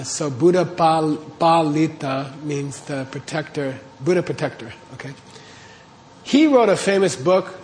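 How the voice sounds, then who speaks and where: A man's voice: fairly high pitch, a little hiss or hum. A man teaching a class, explaining terms step by step and checking in with his listeners. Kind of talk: classroom lecture on Tibetan texts.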